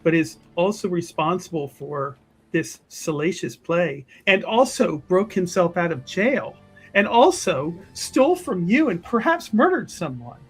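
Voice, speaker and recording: A woman playing an inquisitive, easily astonished official in an improvised tabletop roleplay, speaking haltingly and dramatically. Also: fairly low pitch, very animated, breathy edge, soft background music, exaggerated voice, low-quality recording. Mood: scandalized. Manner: halting, dramatic, repeats words for emphasis.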